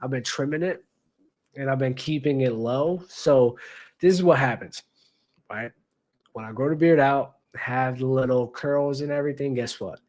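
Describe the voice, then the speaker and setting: A man's voice: mid-range voice, moderate pace, a little mellow. Blunt young man repeating his points. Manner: blunt, repeats phrases for emphasis, slangy. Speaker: basketball commentator host. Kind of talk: casual opinion commentary.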